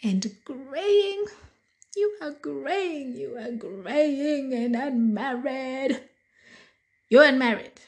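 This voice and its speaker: A Kenyan woman in her thirties, a little hoarse, hosting a casual solo podcast and chatting slowly with many pauses and fillers. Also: sits high, animated. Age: 30s. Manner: slow, deliberate, chatty with frequent fillers. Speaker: podcast host.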